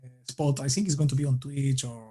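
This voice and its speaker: A man from Argentina with an Argentine Spanish accent, answering interview questions in a casual, halting way with many restarts. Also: medium pitch, steady tone, warm tone, slightly rough. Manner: halting, many restarts and fillers, laughs lightly. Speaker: guest.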